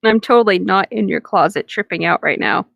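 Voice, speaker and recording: A woman's voice: medium pitch, a little fast, vocal fry, a bit bright, dry recording, clean studio sound. A woman co-hosting a comedic reading of fan fiction, irreverent and giggly, slipping between dramatic delivery and casual banter. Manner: giggly, breaks into laughter while reading; irreverent, crude joking.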